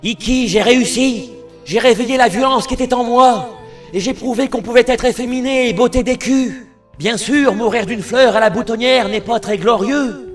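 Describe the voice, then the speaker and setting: A man voicing a gentle, effeminate young hero in an anime parody dub, earnest and prim with mock-solemn bravado. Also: extremely high pitch, moderate pace, faint music behind.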